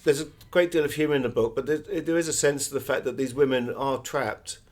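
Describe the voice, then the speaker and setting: A man's voice: mid-range voice, fairly even intonation, brisk, darkish tone. A man speaking as a guest author in an interview, explaining things in a discursive, anecdotal way. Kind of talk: conversational interview, telling anecdotes.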